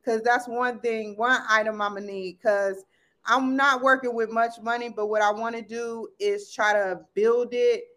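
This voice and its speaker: A woman's voice: fairly high pitch, fairly even intonation, unhurried, a bit bright. A woman in her thirties, a podcast guest, talking in a rambling, enthusiastic way and laughing often. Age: 30s. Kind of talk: casual chat.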